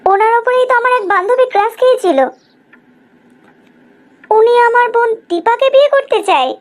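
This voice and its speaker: A woman's voice: extremely high pitch, fairly quick. A woman playing a confident, teasing young newlywed wife in a cartoon drama, with emphatic questioning. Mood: surprised, determined. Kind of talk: animated cartoon drama dialogue.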